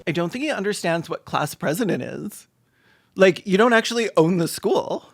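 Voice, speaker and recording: Sarcastic, campy commentator with animated pitch. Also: moderate pace, creaky, no room sound, studio quality. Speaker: video host and commentator. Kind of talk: comedic reaction commentary.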